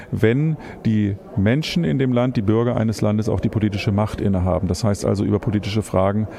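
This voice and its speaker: A man's voice: mid-range voice, creaky, dark tone. A worn-out man, an event organizer, answering interview questions with hesitant fillers.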